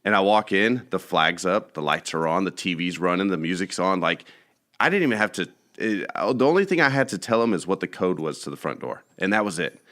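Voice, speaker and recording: Very deep-voiced joking host. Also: male voice, lively intonation, fairly quick, fairly bright tone. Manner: joking and profane. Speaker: podcast host, business owner. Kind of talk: casual podcast chat.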